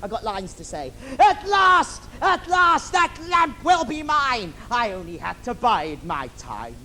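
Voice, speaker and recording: High-pitched camp scheming pantomime villain. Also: male voice, very animated, a little slow, pushed and bright, exaggerated voice. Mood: gloating. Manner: theatrical, declamatory, camp. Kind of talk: adult pantomime stage acting with rhyming verse and innuendo. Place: theatre stage. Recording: noisy live theatre stage recording.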